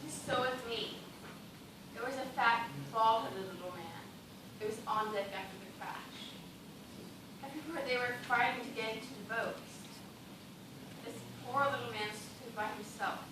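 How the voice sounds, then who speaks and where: A woman's voice: a little high, normal speed, long pauses, natural voice. A woman on stage playing a fearful, despairing dancer shipwrecked on a raft, with plaintive theatrical delivery. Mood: haunted. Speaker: stage actor. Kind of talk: stage play dramatic acting. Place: theatre stage, recorded from afar. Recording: very echoey stage, distant mic, loud noise.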